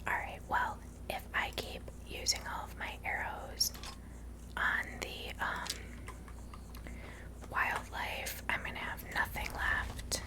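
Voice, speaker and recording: A woman softly whispering ASMR commentary as she plays a video game, gently musing aloud. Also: takes it slowly. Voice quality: soft breathy whisper. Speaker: host playing a video game.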